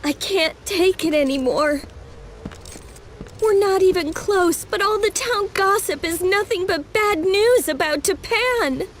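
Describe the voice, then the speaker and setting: An exasperated young heroine complains in an extremely high voice. She is emotional and fed up, a fantasy game character, with slight background noise. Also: lively intonation, unhurried. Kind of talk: video game cutscene acting.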